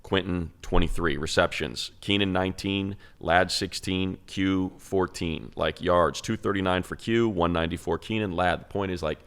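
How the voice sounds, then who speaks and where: A man's voice: deep voice, fairly even intonation, normal speed, few pauses, fairly bright tone, smooth. A man giving casual sports podcast commentary as a host, conversational and emphatic, repeating phrases for stress. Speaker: sports show host and analyst.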